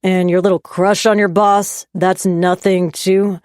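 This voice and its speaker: A woman voicing a young man, an angry and jealous boyfriend, who talks in raised, sharp and scornful lines. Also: medium pitch, a little slow, dry recording, clean studio sound, lightly acted.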